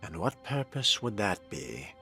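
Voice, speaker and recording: Man, low voice, animated intonation, dry wit, wordy, role-played game commentary. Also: unhurried, gravelly, faint music behind. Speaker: gamer role-playing his character. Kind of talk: in-character gameplay narration.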